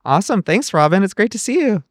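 Male podcast host, slightly high voice, dry close sound, upbeat and appreciative casual interviewer. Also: sing-song, fast talker, no echo.

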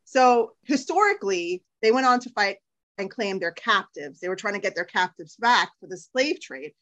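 A middle-aged woman co-hosting a podcast, chatting casually with quick enthusiasm and frequent laughter. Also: medium pitch, expressive, a bit bright. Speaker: podcast co-host. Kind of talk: casual chat.

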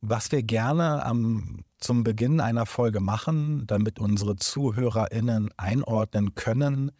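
A man hosting a podcast interview indoors, speaking in a measured, formal way as he introduces the guest. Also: mid-range voice, warm tone, no echo, clean studio sound. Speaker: podcast host. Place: indoor room in Berlin.

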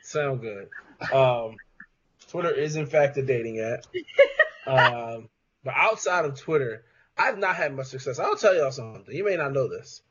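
A man's voice: medium pitch, animated, moderate pace, a bit bright. A man with a mid-range voice co-hosting a podcast in a laid-back, wisecracking way. He tosses in jokes as he chats. The sound is a little dull, with faint noise behind him. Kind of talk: casual chat.

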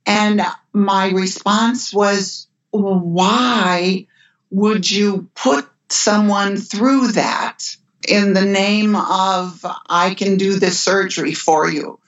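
An older woman who works as an end-of-life care nurse, speaking in an earnest, measured way. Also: medium pitch. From United States. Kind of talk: podcast conversation. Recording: dull lo-fi remote audio.

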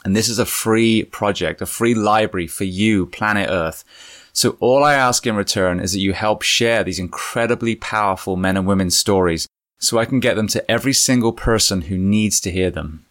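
A man hosting a podcast interview, speaking in an earnest, measured way with some fillers and restarts. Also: lowish voice, fairly bright tone. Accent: British. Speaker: podcast host. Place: home.

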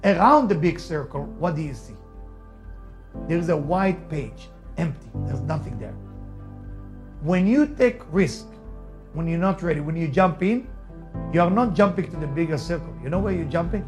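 A man's voice: on the high side, animated, moderate pace, pauses often, soft background music. A middle-aged man from Israel with an Israeli accent, a native Hebrew speaker, giving an emphatic, repetitive motivational talk.

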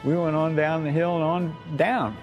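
An older American man, a war veteran, telling his memories informally in a folksy, halting way with repeated phrases. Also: on the high side, fairly even intonation, soft background music. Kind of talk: informal oral-history storytelling.

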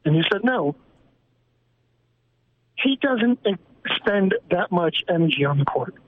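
High-voiced guy calling in, earnest, tinny phone line w/ hiss. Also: expressive, a little slow. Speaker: caller. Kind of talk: calling in to a sports radio show.

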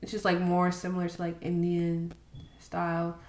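A woman's voice: fairly low pitch, monotone, moderate pace, darkish tone. Slangy young woman chatting, echoey room. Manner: chatty, laughs often, slangy storytelling. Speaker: podcast co-host. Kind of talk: casual chat.

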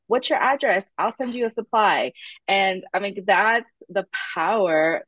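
A woman's voice: fairly low pitch, no echo, muffled recording. A woman guest on a podcast, talking earnestly and telling anecdotes animatedly. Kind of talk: casual interview chat.

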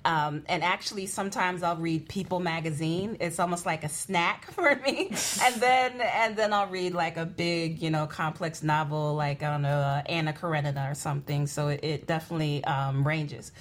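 A woman's voice: low-pitched, fairly even intonation, moderate pace, hardly any pauses, slight background noise. A woman guest on a talk show, giving advice in a chatty, informal way. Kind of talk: casual conversational advice.

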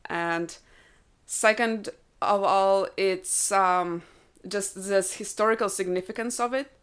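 Smooth-voiced woman guest, thoughtful. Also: mid-range voice, fairly even intonation, takes it slowly, a bit bright. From Ukraine. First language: Russian. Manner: thoughtful, explaining with many fillers. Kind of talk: casual chat.

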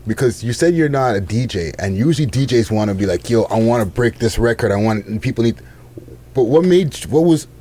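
A man's voice: medium pitch, lively intonation, brisk, warm tone. A man hosting a casual interview, asking questions in an informal, slangy way. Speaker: interviewer, host.

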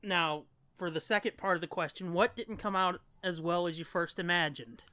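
A man's voice: high-pitched, moderate pace, sharp tone, clean tone, dry recording. A man co-hosting a podcast in casual banter, joking and crude with plenty of swearing. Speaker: podcast co-host.

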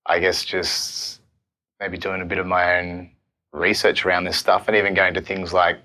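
A man's voice: low voice, little pitch movement, moderate pace, pushed and bright. An Australian man in his thirties hosting a casual, conversational interview. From Melbourne, Australia. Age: 30s. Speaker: podcast host.

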